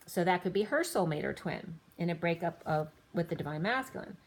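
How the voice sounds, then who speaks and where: A woman giving a rambling tarot reading, listing cards in loose fragments, her voice going a little hoarse. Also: fairly low pitch, expressive, brisk. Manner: rambling, lists card after card in loose fragments. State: slightly losing her voice. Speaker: tarot reader.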